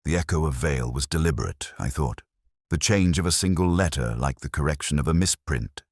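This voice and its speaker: A man playing a melancholy, reflective police inspector who narrates a case in a measured, literary way, as in a scripted audio drama. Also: extremely low pitch, expressive, no echo, studio quality, full character voice. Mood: somber, reflective.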